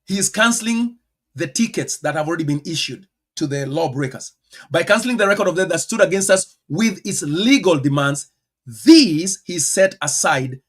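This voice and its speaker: A man with a Kenyan accent preaching a teaching sermon, stressing words and firing rhetorical questions, with sudden loud bursts and quiet asides. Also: high voice. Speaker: pastor.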